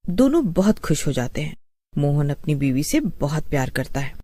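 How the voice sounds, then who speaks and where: A woman's voice: low-pitched, very animated, normal speed, warm tone. A woman storyteller reading a scripted horror story as narrator.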